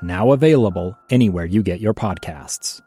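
Male trailer narrator, dark mellow tone, lively intonation, scripted true-crime read. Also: low-pitched, moderate pace. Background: music.

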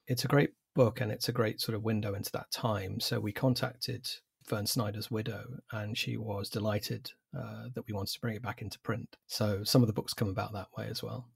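A man in his forties with an English accent, a publisher answering interview questions in a measured, thoughtful way with some fillers. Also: on the low side, little pitch movement, gravelly, slightly rough, no echo, clean studio sound. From England. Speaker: publisher, guest.

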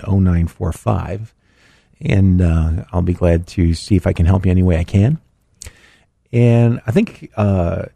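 A middle-aged man hosts a live radio show, his voice a little raspy and stuffy. He talks in a loose, rambling way, full of fillers and restarts, with a lowish, mellow tone. The studio sound is close and dry. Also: dry recording. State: raspy, a bit congested after illness. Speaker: radio show host, financial advisor. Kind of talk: casual live call-in radio talk.

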